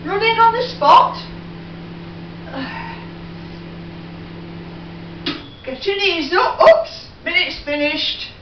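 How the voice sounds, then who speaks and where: A woman's voice: extremely high pitch, sing-song, extremely slow, long pauses. An older woman chatting casually as she walks through each step of making breakfast at home, humming along as she goes. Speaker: home vlogger. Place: home kitchen, indoors. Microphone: far camera on a tripod. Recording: echoey kitchen from far off, dull and noisy.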